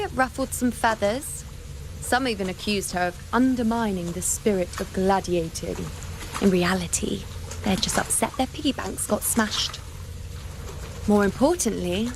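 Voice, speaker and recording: A woman's voice: mid-range voice, close to an everyday voice. Actress doing a cocky wry young fighter woman for a game, mid voice, noisy ambience behind her. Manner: wry, self-assured, teasing. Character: confident, wry young warrior woman, fond but exasperated. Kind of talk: video game story dialogue.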